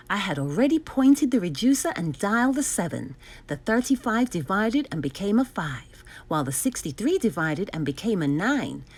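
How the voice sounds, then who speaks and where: A woman narrates a children's storybook aloud. Her delivery is highly animated, with clear enunciation and dramatic emphasis on key words, at a middle pitch. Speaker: storybook reader. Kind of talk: expressive scripted read-aloud for children.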